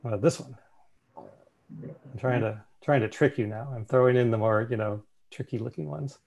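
Gravelly man presenting on a video call. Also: medium pitch, moderate pace, rough recording. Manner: relaxed, thinking aloud with fillers and restarts. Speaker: meeting presenter.

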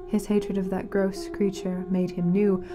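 A woman playing a grave, mournful radio announcer in a fiction podcast, speaking solemnly and dramatically with weighted pauses. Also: mid-range voice, little pitch movement, noisy background, lightly acted. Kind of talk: scripted fiction-podcast narration as a community radio broadcast.

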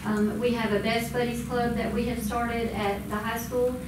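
A woman's voice: on the high side, flat delivery, moderate pace. A middle-aged woman giving a casual, um-filled report to a school board. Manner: conversational, with many ums. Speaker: school department presenter. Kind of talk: informal report at a public meeting. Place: school board meeting room. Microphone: distant room mic, standing speaker. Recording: echoey room from far off, noisy.

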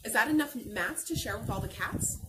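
A woman's voice: a little high, fairly quick. Young lady teacher reading a storybook to kids, super swoopy voice, encouraging, noisy outdoor recording w birdsong. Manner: expressive, encouraging, sing-song enunciation for young listeners. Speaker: teacher reading aloud to children. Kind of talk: children's picture book read-aloud with questions. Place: outdoors on a garden patio.

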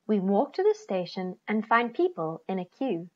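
A middle-aged woman reading a children's picture book aloud, expressively, with deliberate phrasing and stressed key words. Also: medium pitch, big pitch swings. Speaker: children's story reader. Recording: slightly dull, lo-fi computer recording.

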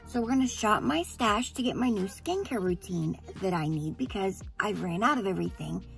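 Hoarse, gravelly chatty woman vlogger. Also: medium pitch. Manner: chatty, rambling, gushing. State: hoarse after surgery. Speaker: beauty vlogger. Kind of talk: casual chat to camera.

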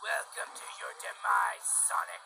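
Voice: a bass voice, moderate pace, recorded from a distance. An actor voicing a menacing robotic villain in a cartoon dub. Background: cartoon music.

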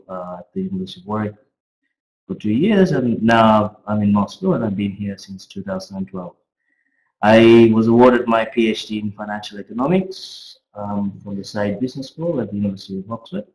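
A middle-aged man gives an informal academic presentation as a finance professor, speaking haltingly with frequent pauses. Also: on the low side, a little mellow. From Australia. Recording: roomy, echoey webcam audio.

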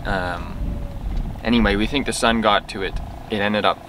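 A young man co-hosting a sailing vlog, calmly explaining things to camera with dry little quips. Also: on the low side, normal speed, background noise. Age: young adult. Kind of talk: casual chat to camera. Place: outdoors in a sailboat cockpit at anchor.

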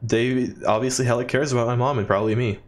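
A man's voice: mid-range voice, steady tone, fast, close to an everyday voice. Man reading as brash slangy young woman. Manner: slangy, swearing, dramatic. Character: brash, slangy, affectionate young woman texting her friend. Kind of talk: game text messages read aloud.

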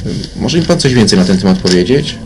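Man playing brisk police inspector, noisy recording. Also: fairly low pitch, animated, close to an everyday voice. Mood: businesslike, probing. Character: brisk, blunt middle-aged male police inspector. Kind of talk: crime radio play acting.

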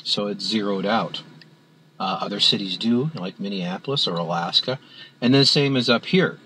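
A man with a somewhat low voice talks through a spreadsheet in a plain screen-recorded tutorial. He speaks haltingly, filling gaps with "uh" and restarting phrases. A faint hiss sits under the recording. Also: pushed and bright, poor audio. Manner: halting, many filler words and restarts. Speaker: instructor. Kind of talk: screen-recorded software walkthrough.

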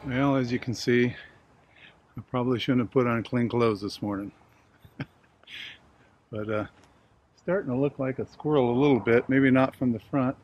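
A man's voice: medium pitch, normal speed, pauses often, highs cut off. A man in his sixties talking casually and plainly to camera outdoors, with a self-deprecating manner, as an amateur filming himself. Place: outdoors in a suburban front yard. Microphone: camera mic, at varying distance. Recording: clear outdoor ambient noise.

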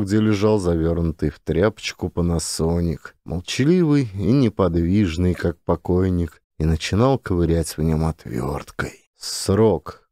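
A man's voice: low-pitched, dark tone, no room sound, studio quality. A man reading a satirical story as a scripted audiobook narration.

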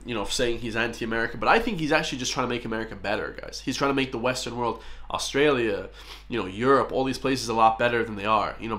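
A man's voice: medium pitch, a bit bright. A young Irish man chatting casually in a small room as he reacts to a video, often calling out to "guys". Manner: chatty, keeps addressing "guys". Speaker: YouTube reactor.

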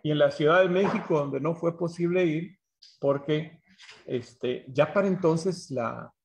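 A man gives a talk recalling his memories, haltingly, searching for words and repeating phrases. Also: on the high side, vocal fry, poor audio. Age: 70s. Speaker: speaker at a history symposium. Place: small bright room indoors, on a video call.

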